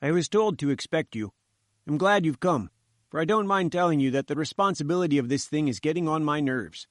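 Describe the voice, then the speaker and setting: A man's voice: fairly high pitch, expressive, fairly quick, no room sound, clean studio sound, natural voice. A man voicing a thin, melancholy head engineer in an audiobook, talking in a gloomy, worried manner. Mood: gloomy, anxious.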